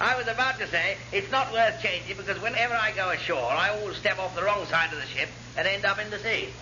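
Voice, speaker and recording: A man plays a bumbling, amiable naval commander from radio comedy. The high, posh English voice rambles absent-mindedly with chuckles, over a muffled and noisy vintage recording. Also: fast, close to an everyday voice. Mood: cheerfully befuddled. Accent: upper-class English. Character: amiable, bumbling, tipsy-sounding accident-prone posh male commander. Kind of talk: classic British radio sitcom acting.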